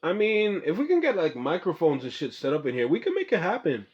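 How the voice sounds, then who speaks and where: A man's voice: fairly high pitch, wildly swooping pitch, quick pace. A young man hosting a podcast, chatting loosely with a friend in slang and cursing casually. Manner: loose, slangy, laughs a lot, swears casually. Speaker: podcast host. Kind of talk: casual chat. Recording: muffled lo-fi room recording.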